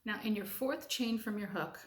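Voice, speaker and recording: Sniffly stuffy-nosed woman doing a chatty crochet how-to, middle pitch, roomy echo. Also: normal speed. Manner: chatty, enthusiastic, friendly. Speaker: crochet tutorial host. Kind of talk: casual crochet tutorial.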